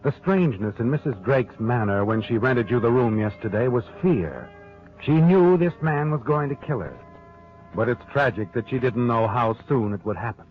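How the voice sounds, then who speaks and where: Music plays under an actor as an ominous man narrating a vintage radio mystery, in a dull old recording. His medium-pitched voice is dramatic, knowing and deliberate. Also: lightly acted. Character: ominous omniscient narrator addressing the heroine, sardonic. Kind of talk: 1940s radio suspense narration in second person.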